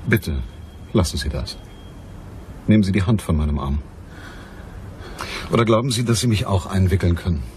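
A man playing a blunt, unyielding private detective in a crime radio play, with a dry, deliberate delivery. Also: sits low, lively intonation, a little mellow, slight background noise. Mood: curt, wary. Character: hard-boiled, stocky male private detective, blunt and unyielding.

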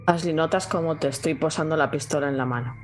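A player speaking in character in a tabletop game, slow, hushed and deliberate. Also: medium pitch, soft background music.

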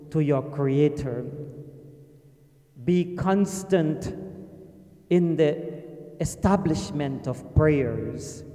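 An older man preaching in a mosque hall, his voice pitched fairly high yet mellow in tone. He speaks earnestly, repeating key phrases and slowing down to press them home, into a stand mic. The hall rings with a long, echoey reverb. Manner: earnest and emphatic, repeating phrases, slows for stress. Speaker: imam giving Friday sermon. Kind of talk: sermon. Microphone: stand mic at a lectern.

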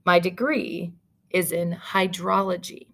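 A reserved male scientist in a storybook, read by a woman. He speaks in a measured and guarded way, staying polite with strangers. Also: lowish voice, fairly even intonation, creaky, fairly bright tone, heavily acted. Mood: matter-of-fact. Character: reserved grown man, a scientist back in his hometown.

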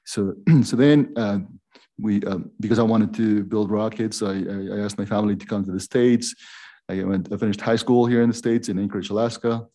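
A man tells his own story at an easy, halting pace. His low voice has a gravelly edge and a dark, mellow tone, and he trips over fillers as he goes. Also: fairly even intonation. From Peru. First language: Quechua. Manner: hesitant, many fillers and restarts. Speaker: panelist, aerospace company CEO. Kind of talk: personal story on a panel.

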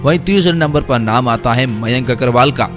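A young man reading a scripted cricket news voice-over in a brisk, emphatic way, with asides to viewers. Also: medium pitch, fairly bright tone. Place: voice-over, not in pictured place. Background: faint background music.

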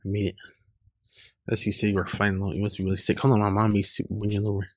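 A man with a husky, fairly low voice reads comic dialogue aloud. He loosely acts out the lines in an informal, casual way. The sound is close and dry, with a gravelly edge. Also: monotone, quick pace, darkish tone, no echo. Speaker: online comic reader. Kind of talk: reading webcomic dialogue aloud with casual reactions.

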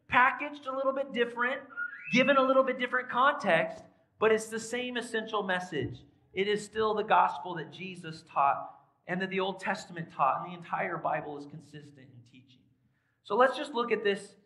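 A man in his forties preaching a sermon in a large church, tired after a recent cold, swinging from soft asides to loud emphatic lines. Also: extremely high pitch, rough recording. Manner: animated, pacing the stage with sweeping gestures. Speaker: pastor. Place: large church sanctuary, indoors.

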